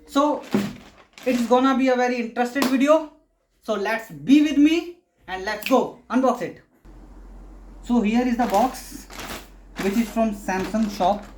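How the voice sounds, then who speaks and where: A woman hosting a casual product unboxing, talking haltingly in short phrases with pauses. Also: fairly high pitch, noisy background. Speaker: unboxing video host.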